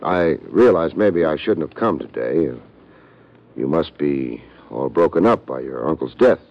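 A man's voice: rumbling low, expressive, unhurried, full character voice. An actor playing a dry, wisecracking private detective who narrates his own case in an old radio drama, on a muffled old recording. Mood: wry. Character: wisecracking hard-boiled male private detective. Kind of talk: 1940s radio drama acting.

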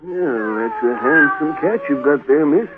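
An actor playing a polite, chatty, matter-of-fact drugstore clerk in a 1940s radio drama. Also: male voice, a little high, moderate pace, heavy background noise, close to an everyday voice. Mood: friendly, casual. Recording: tinny vintage radio recording.

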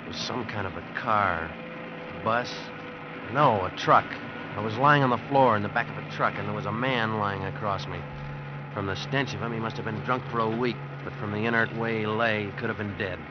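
A man's voice: fairly low pitch, fairly quick, noisy background, lightly acted. An actor playing a hard-luck American drifter who tells his story in a terse, brooding 1940s noir radio style. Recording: dull vintage radio recording. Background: dramatic music.

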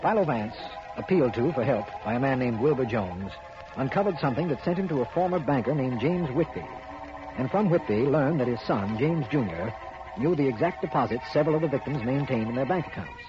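Actor as a fast-talking earnest district attorney. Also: male voice, mid-range voice, expressive, music in the background, natural voice. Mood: brisk, explanatory. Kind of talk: 1950s radio drama acting and narration. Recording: muffled, noisy old radio recording.

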